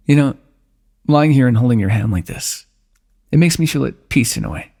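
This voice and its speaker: A man performing an intimate fantasy roleplay for ASMR, speaking softly in a teasing, affectionate way. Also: mid-range voice, lively intonation, measured pace, gravelly. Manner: soft, teasing and affectionate, with frequent light laughs.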